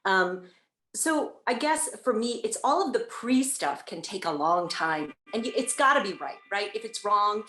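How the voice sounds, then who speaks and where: Excited woman narrator gushing about prepping, echoey room on a call. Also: mid-range voice, normal speed. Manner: enthusiastic, gushing, with restarts. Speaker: audiobook narrator. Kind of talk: casual interview chat.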